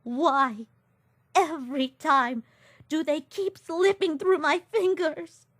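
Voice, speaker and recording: A woman's voice: sits high, slow pace, fairly bright tone, no echo, studio quality. A woman voicing a grieving, motherly goat-like former queen who speaks formally, in a comic dub. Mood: sobbing. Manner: formal, no contractions, tearful. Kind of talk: fan comic dub acting.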